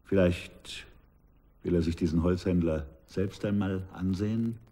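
An older man acting a shrewd, patient former pastor in a German radio drama, speaking in a measured, deliberate way. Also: low-pitched, mellow. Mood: calm, calculating. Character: ponderous, shrewd former pastor turned investigator.

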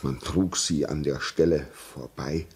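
Deep, husky, haunted signalman. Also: steady tone, full character voice. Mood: sombre. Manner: slow, halting, repeats words for emphasis. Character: haunted railway signalman, grave, shaken, insistent man. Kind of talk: German radio play acting, dramatic storytelling.

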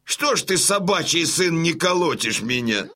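A man's voice: a little high, big pitch swings, normal speed, sharp tone, full character voice. An actor playing a boisterous old Cossack father in a radio play, teasing and combative with jovial exclamations. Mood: gruff, proud.

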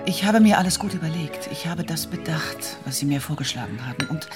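An actress playing a composed, independent young widow in a literary radio drama, breathy and husky, with measured, deliberate, formal speech. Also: female voice, deep voice, lively intonation, over loud music. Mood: resolute, deliberate. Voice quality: very husky, breathy, often near whisper.

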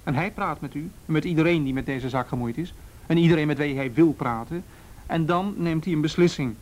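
Actor as firm police investigator, higher-pitched man. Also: a little mellow, close to an everyday voice. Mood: firm, patient. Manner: measured, procedural, matter-of-fact. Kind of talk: radio play acting. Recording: faintly noisy radio drama recording.

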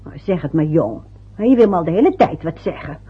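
A woman's voice: deep voice, animated, brisk, husky, exaggerated voice. An actress playing a fussy, anxious older mother in a radio play, chattering and muttering to herself. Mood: fretful. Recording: dull lo-fi old radio recording.

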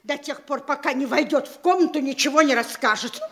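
A woman's voice: sits high, fairly quick, a bit bright. An actress playing a fussy, impatient, bossy middle-aged provincial mother in a theatrical classic stage comedy, exclaiming and scolding. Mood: reproachful, demanding.

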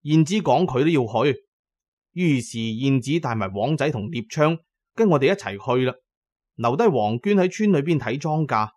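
A man's voice: mid-range voice, expressive, moderate pace, a little mellow, dry recording. A man narrating a scripted first-person story in a dramatic storytelling manner. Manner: dramatic storytelling, voicing characters' lines.

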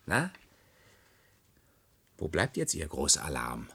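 A man's voice: extremely low pitch, huge pitch swings, takes it slowly, vocal fry, bright, forceful tone, husky, full character voice. A man playing a cynical, defiant young subordinate in a German science fiction radio drama, sardonic and taunting. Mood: mocking, irritated.

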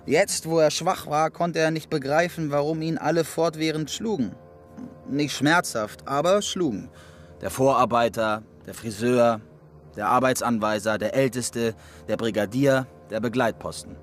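Radio play: man as sombre, hungry camp prisoner telling his story, medium pitch, faint music below. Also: unhurried, close to an everyday voice. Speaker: actor narrating in a radio play. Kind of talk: literary radio play narration.

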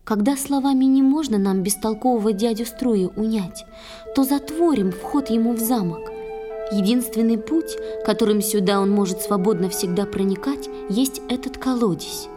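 A woman playing a gentle, sorrowful young water-spirit wife in a poetic children's audio play, speaking softly and earnestly in measured verse. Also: fairly high pitch, music in the background, lightly acted. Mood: tender, earnest.

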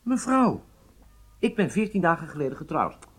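A man's voice: a little high, very animated, normal speed, slightly rough. An actor playing a charming, self-assured agent in his late thirties, speaking with casual nonchalance in a radio drama. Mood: pleased, insistent. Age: 30s.

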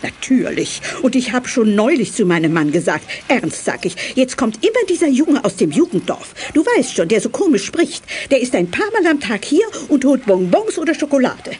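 Gossipy shopkeeper wife, highish n swoopy, indignant, old kids radio play. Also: female voice, sing-song, normal speed, few pauses, a little mellow, slight background noise. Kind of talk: 1980s German children's radio play acting.

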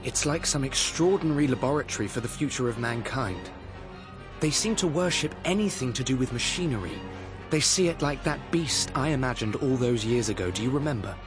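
A tormented young composer, played by a man in a radio drama. He speaks with fervent, declamatory intensity, like someone obsessed with his work. Music plays clearly behind the voice. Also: medium pitch, lively intonation, fairly quick, close to an everyday voice. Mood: brooding, confessional.

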